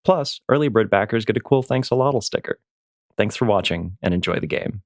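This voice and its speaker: A man's voice: on the low side, animated, fairly quick, heavy background noise. A man announcing a tabletop game promo in a playful, scripted style.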